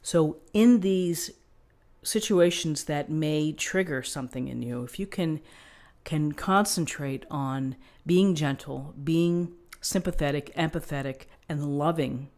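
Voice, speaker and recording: A woman giving an unscripted tarot reading, explaining things in a gently encouraging manner. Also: deep voice, slow. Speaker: tarot reader.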